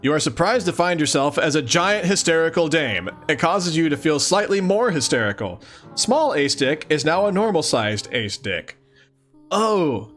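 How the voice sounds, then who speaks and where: Animated, slightly high-voiced man streaming. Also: normal speed, faint music behind. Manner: chatty, playful, giggly, exclaims and thinks aloud. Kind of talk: casual livestream commentary and read-aloud of a webcomic.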